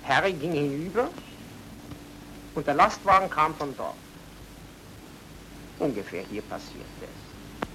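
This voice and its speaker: An actor playing an obliging but shady Viennese baron in a radio drama, with a courteous, theatrical, evasive manner. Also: male voice, a little high, big pitch swings, takes it slowly, long pauses, pushed and bright, a little hiss or hum, heavily acted, low-quality recording. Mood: solemn.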